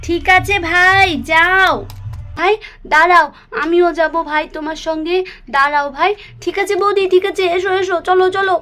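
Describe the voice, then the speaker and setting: A woman's voice: extremely high pitch, steady tone, moderate pace, sharp tone. A woman voicing an anxious villager searching for a missing relative, with urgent questioning, in a puppet cartoon. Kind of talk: Bengali puppet cartoon voice acting.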